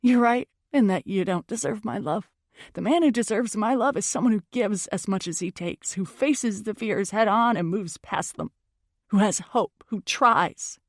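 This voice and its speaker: A woman's voice: medium pitch, normal speed, no room sound, clean studio sound, natural voice. A woman voicing a heartbroken, wounded and furious young woman in first-person audiobook dialogue, emotional and emphatic. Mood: seething, accusing.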